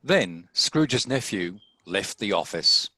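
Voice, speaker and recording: Bright, lowish male storybook narrator. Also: big pitch swings, slow, a little hiss or hum. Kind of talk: scripted storybook narration in a table read.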